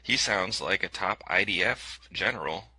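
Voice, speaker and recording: A man's voice: deep voice, moderate pace, bright, forceful tone, breathy edge, no room sound, rough recording. A man giving a scripted commentary over video clips in a sarcastic, skeptical tone, with frequent fillers.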